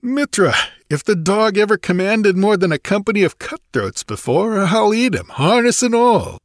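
A man's voice: high voice, big pitch swings, normal speed, dry recording, clean studio sound, voice pushed up. A man voicing a large, blunt, hearty and roguish mercenary commander in a fantasy story.

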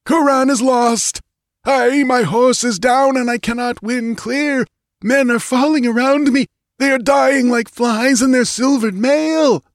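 Shrieking, high-pitched doomed skull-faced priest. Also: male voice, a little slow, no room sound, studio quality. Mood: frantic, despairing. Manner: screaming, desperate battlefield report. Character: skull-headed dying priest, frantic, shrieking through a crystal. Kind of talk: dramatic audiobook character voice.

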